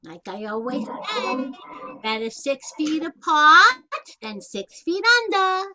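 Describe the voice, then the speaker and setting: A woman's voice: high-pitched, big pitch swings, takes it slowly, sharp tone, exaggerated voice. An actress playing a chatty, self-deprecating older Italian-American woman in a stage comedy, with a folksy, wisecracking way of talking.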